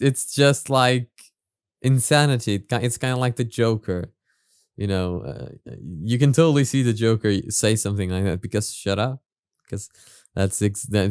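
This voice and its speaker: A man's voice: lowish voice, normal speed, smooth, dry recording, studio quality. A man hosting a reaction video, talking casually and analytically, thinking aloud with hesitant, repeated phrases.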